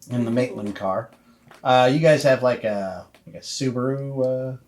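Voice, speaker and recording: A man acting as game master, improvising narration in a halting, conversational way. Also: mid-range voice, a little mellow, slight background noise.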